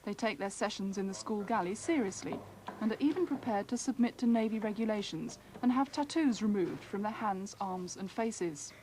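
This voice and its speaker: A British woman narrates a news documentary from a script. Her voice sits at an ordinary female pitch, and the archive recording carries faint noise. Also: expressive, moderate pace, hardly any pauses, a little mellow. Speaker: news reporter. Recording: faintly noisy archive newsreel.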